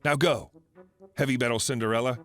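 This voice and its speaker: A man voicing a kind young female sales clerk who talks in a hushed, gentle way. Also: medium pitch, steady tone, fairly quick, faint music behind, voice pushed down, natural voice.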